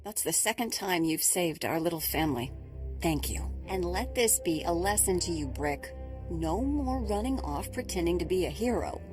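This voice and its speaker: A woman voicing an expressive, motherly scientist in a video game, warm but quick to scold. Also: lowish voice, soft background music, natural voice.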